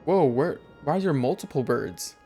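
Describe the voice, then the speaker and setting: A man's voice: on the high side, lively intonation, moderate pace, mellow. Casual male game streamer with music behind. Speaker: game streamer reacting to story cutscenes. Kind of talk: casual live commentary.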